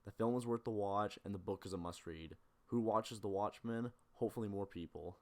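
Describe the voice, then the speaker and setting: A man's voice: lowish voice, brisk. A man delivering a video essay on film, speaking bluntly and opinionatedly with casual swearing. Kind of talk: film analysis commentary with casual ranting.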